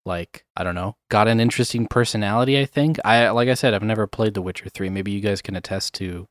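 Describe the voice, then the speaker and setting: Low-voiced rambling man chatting. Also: quick pace, dry recording, clean studio sound. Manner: rambling, many fillers, chuckles often. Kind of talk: casual chat.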